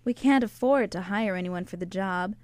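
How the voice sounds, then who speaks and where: An actress as a young American barmaid, plain-spoken and unimpressed, with a medium-pitched voice. It is radio drama dialogue, recorded dry and close. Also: female voice, normal speed, darkish tone, no echo, natural voice. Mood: matter-of-fact.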